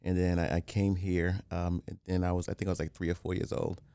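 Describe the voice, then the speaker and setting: A man from Haiti, a real estate developer talking as a podcast guest in a calm, plain-spoken way, often restarting. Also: low-pitched, fairly quick, smooth, no echo, clean studio sound. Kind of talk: casual interview.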